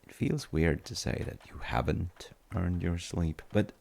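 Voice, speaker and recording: A man playing a gentle, musing father in a sleep-aid audio roleplay, talking in unhurried, halting rambles with a low, gravelly voice. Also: lively intonation, exaggerated voice. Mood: soothing, musing. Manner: unhurried, musing, halting with soft trailing phrases. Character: gentle, musing father comforting his tired grown child.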